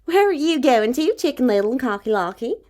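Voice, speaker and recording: A woman's voice: sits high, sing-song, fairly quick, a little mellow, voice pushed up. A woman voicing curious, friendly farmyard birds in a fairy tale, in an eager, questioning singsong.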